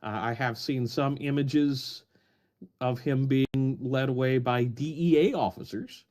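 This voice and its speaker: A man's voice: mid-range voice, fairly even intonation, a little slow, darkish tone. A man chatting casually on a podcast call, wry and joking. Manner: wry, joking, reads foreign text aloud with flair. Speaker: podcast regular.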